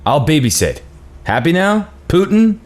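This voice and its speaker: An actor reading a stammering, self-centered husband who complains about babysitting in a table read. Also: male voice, fairly high pitch, big pitch swings, measured pace. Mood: defensive. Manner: stammering, defensive, sarcastic.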